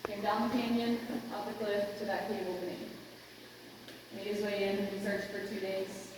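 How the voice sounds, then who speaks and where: A woman's voice: mid-range voice, fairly even intonation, normal speed. A woman from Louisiana working as a cave tour guide, telling stories in a chatty, joking way to her group. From Louisiana, United States. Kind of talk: guided tour narration with casual storytelling. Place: inside an echoing rock cave. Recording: echoey cave, distant mic, noisy.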